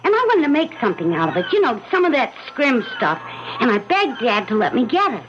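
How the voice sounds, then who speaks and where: A woman's voice: on the high side, brisk. A woman playing an eager, earnest young boy in an old-time radio drama. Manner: earnest, excitable, childlike. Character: eager young boy, son of boating parents. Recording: muffled old radio broadcast, music behind.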